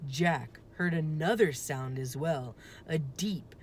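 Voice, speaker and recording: A woman's voice: low voice, slow, a little mellow. A woman reading a children's storybook aloud as a teacher would, narrating the story. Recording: faint background noise.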